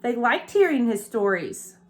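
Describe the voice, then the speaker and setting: Woman, higher-pitched, preschool storybook reader who pauses to explain and question. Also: very animated, faint music behind. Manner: explains pictures and asks listeners questions. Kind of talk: read-aloud picture book with chatty asides to young children.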